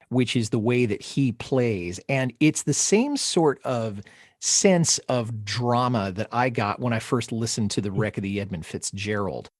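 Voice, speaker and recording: A man hosting a music discussion podcast, speaking in a measured, articulate and reflective way. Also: mid-range voice, no room sound, studio quality. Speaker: podcast host.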